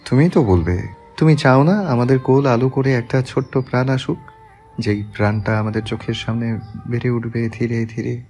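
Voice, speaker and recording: A man playing a tender young husband in a romantic audio story, speaking softly and gently. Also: moderate pace, breathy edge. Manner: soft, gentle, low-voiced. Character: tender young husband, soft-spoken and teasing. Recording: soft background music.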